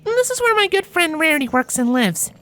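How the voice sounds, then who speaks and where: Friendly young female pony , acted by a man, chatty and eager, extremely high with animated pitch. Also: fairly quick, soft background music, full character voice. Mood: cheerful, teasing.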